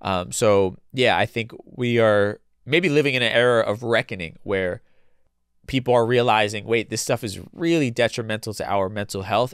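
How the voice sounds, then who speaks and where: A man's voice: on the low side, fairly bright tone, clear, resonant tone, no room sound, studio quality. Blunt man with a stuffy cold. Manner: blunt and casual, swears freely, many restarts. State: congested with a cold, losing his voice. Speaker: podcast host. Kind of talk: solo opinion commentary.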